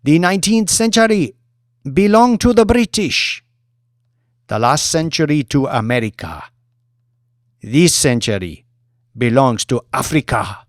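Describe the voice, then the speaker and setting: A man voicing a proud female African stage performer in an audiobook, giving grand, emphatic and deliberate public oratory. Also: fairly high pitch, animated, no room sound, clean studio sound. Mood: proud, rousing.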